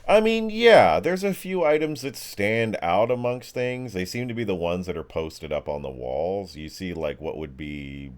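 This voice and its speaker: Low-voiced, animated man running a game. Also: moderate pace, hardly any pauses. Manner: hesitant, piecing descriptions together as he goes. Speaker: game master. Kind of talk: improvised tabletop game narration.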